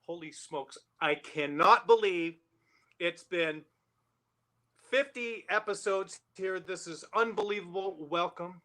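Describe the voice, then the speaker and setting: A man hosting a live interview show, speaking in an excited, effusive manner. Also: sits high, slow. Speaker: show host.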